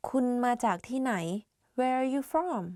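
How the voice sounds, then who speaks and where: Woman reading phrase prompts, higher voice, very fast overall pace, dry mic. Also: darkish tone, no room sound. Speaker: co-presenter reading example phrases.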